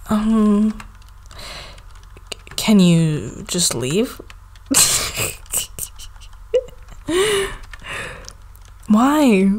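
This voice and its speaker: A woman playing a needy, affectionate young girlfriend in an intimate ASMR roleplay, with a soft, halting, pleading delivery. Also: mid-range voice.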